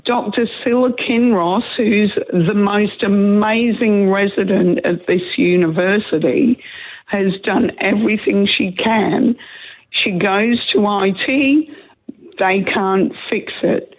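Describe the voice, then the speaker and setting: A hoarse woman, calling in to a radio show, earnest and upset, rambling as she talks. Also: medium pitch, slow. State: hoarse from a cold. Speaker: caller. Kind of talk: phone-in radio call. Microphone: telephone. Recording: tinny phone line.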